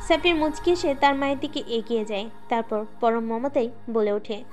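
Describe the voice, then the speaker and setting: Female story narrator, high voice, scripted dramatic narration with a music bed. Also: a little fast, darkish tone.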